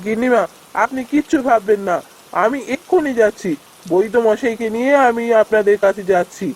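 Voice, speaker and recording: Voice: mid-range voice, a little fast, a little mellow, lightly acted. An actor playing a humble, helpful poor village husband in a fairy-tale cartoon, with some background noise. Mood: earnest, reassuring.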